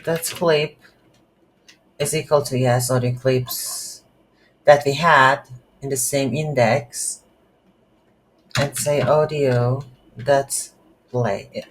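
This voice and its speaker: Slow, halting coding tutor with background noise. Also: medium pitch, fairly even intonation. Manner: halting, thinking aloud while typing. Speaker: game development tutorial instructor. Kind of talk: unscripted coding tutorial narration. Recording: noisy room with keyboard typing.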